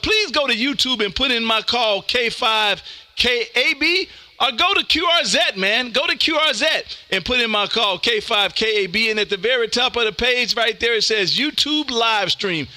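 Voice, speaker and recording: Bright, high-pitched American man hosting. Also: expressive, normal speed, dry recording. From Texas, United States. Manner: folksy, says "man" often, radio call-sign jargon. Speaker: amateur radio livestream host. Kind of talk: casual ham radio chat.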